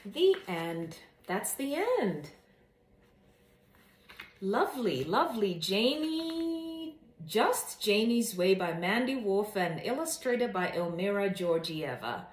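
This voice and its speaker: A woman hosting a children's read-aloud and casual book review, speaking in an expressive way. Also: mid-range voice, slow. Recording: echoey room.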